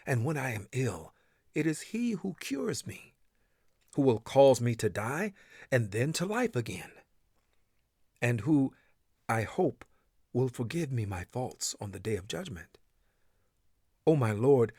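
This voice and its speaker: A man gives a solemn, scripted recitation of scripture. His mid-pitched voice is deliberate, with dramatic phrasing. The sound is dry, with no room around it. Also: sing-song, darkish tone, husky, no room sound. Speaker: reader of a scripture translation.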